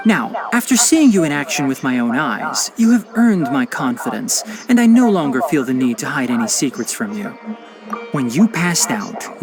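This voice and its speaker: Earnest, formal guardian, expressive and lightish. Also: male voice, a little high. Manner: formal, measured, articulate. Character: earnest, courteous male guardian from an old family. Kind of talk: scripted game dialogue.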